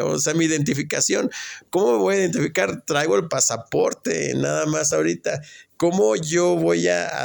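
A man's voice: fairly high pitch, creaky, a little mellow. A man with a Mexican accent giving a casual, conversational personal monologue and recounting exchanges in direct speech. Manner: conversational, acts out remembered exchanges. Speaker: speaker sharing personal experience.

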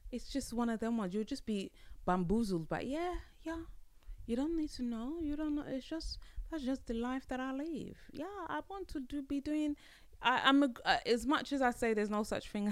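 Bright-voiced woman in playful podcast chat. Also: on the high side, smooth, no echo, clean studio sound. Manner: chatty, playful, bursts into laughter, slangy. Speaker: podcast host. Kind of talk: casual solo podcast monologue.

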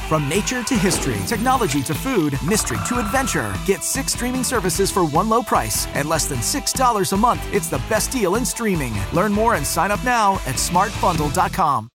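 A man reading a fast, upbeat sponsor ad for a streaming bundle. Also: sits high, music in the background. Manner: fast, lively promotional delivery. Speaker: ad announcer.

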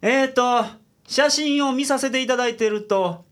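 A man's voice: very high voice, normal speed. A man playing a shabby, shrewd private detective with a Kansai accent and a dry, teasing manner in a radio comedy. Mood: probing. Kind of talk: radio comedy drama acting.

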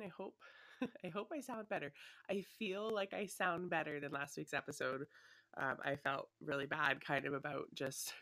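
A woman's voice: deep voice, creaky, a bit bright, dry recording. Chatty, slightly sniffly young woman. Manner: chatty and rambling, many fillers, laughs lightly. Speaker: podcast host. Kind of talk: casual solo podcast chat. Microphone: her own desktop microphone.

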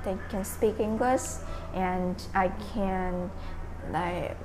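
A young woman from Vietnam speaking English with a Vietnamese accent, answering interview questions hesitantly, often pausing and restarting as she searches for words. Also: lowish voice, noisy background.

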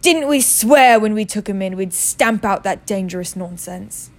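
Storybook bully, played by a woman: middle-aged suburban uncle, loud, blustering, curt and bad-tempered. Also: mid-range voice, lively intonation, moderate pace, lightly acted. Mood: agitated. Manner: barking, sneering, curt.

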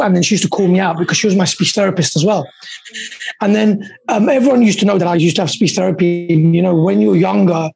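A man with a husky voice, a podcast guest telling his story in a quick, earnest way and often repeating words. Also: high-pitched, darkish tone, slight background noise. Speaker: podcast guest, dentist and coach. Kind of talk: candid storytelling.

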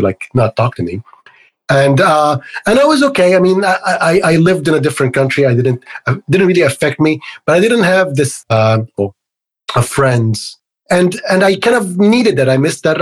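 A man's voice: a little high, breathy edge. A man from Egypt with an Egyptian accent, answering conversationally as an interview guest, often repeating words as he works through his explanation. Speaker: interview guest, activist and organization co-founder.

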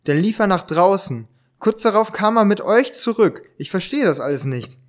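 A man playing a polite, agitated writer in an amateur German detective radio play, haltingly stumbling over his lines. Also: high voice, animated. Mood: puzzled.

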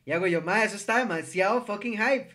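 A man's voice: high voice, fast talker, sharp tone. A young man with a Costa Rican accent hosting a casual group chat, laid-back and slangy with lots of filler words. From Costa Rica.

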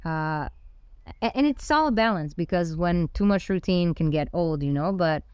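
Low-voiced woman chatting on a podcast. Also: normal speed, clean tone, dry recording. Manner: relaxed and reflective, with frequent restarts. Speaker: guest musician. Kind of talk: casual interview.

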